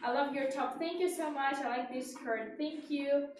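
A woman in her twenties from Ukraine with a Ukrainian accent, presenting products on a casual live stream in a chatty, halting, repetitive way. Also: high voice, recorded from a distance. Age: 20s. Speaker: live shopping host. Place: small indoor studio with clothes rack. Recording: echoey room from afar, faint noise.

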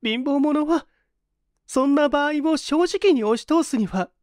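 A man's voice: extremely high pitch, measured pace, darkish tone, no room sound, clean studio sound, pitched above the performer's own voice. A man voicing a polite, frank woman with feminine phrasing in an audiobook drama.

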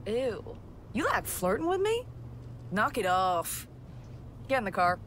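A woman's voice: mid-range voice, sing-song, a little slow, a bit bright, a little hiss or hum. An actress playing a sassy, irritable young woman in a video game, with a dismissive drawl. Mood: annoyed. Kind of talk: video game cutscene acting.

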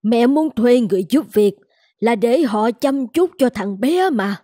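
A woman's voice: fairly high pitch, expressive, a little slow, warm tone, no echo, clean studio sound. A woman playing an indulgent, stubborn old grandmother in a cartoon, doting and dismissive of advice. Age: older. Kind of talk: scripted cartoon dialogue.

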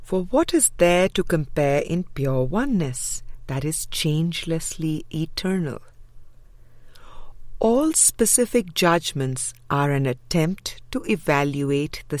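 Slow, even-pitched woman reading an audiobook. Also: no room sound. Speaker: audiobook reader.

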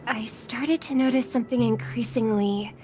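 A woman playing a gentle, polite young singer in a video game, speaking softly and with measured care. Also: on the high side, close to an everyday voice. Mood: thoughtful, concerned. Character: gentle young female pop singer, caring, polite, thoughtful. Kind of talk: video game story acting.

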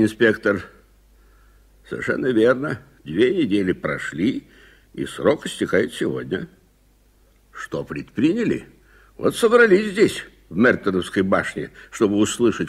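An actor in a Soviet radio play playing an irritable, impatient middle-aged gentleman, brusque and commanding. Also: on the low side, slightly rough, full character voice. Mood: brisk, businesslike. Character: irritable, impatient gentleman suspect, uncle of a nephew.

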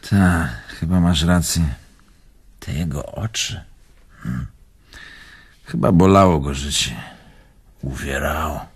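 An actor playing a nostalgic old bon vivant in a radio drama, reminiscing expansively and wistfully with sighs. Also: male voice, extremely low pitch, slow pace, pauses often, slightly rough, full character voice. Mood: pensive. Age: older.

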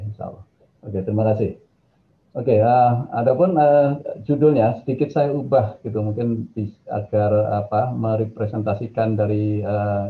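Thin, phone-like video-call audio carries a middle-aged man with a Javanese accent. His voice is low and creaky. He lectures informally and conversationally. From Indonesia. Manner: conversational, mixes in English phrases. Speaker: guest lecturer, academic. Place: indoor office on a video call.

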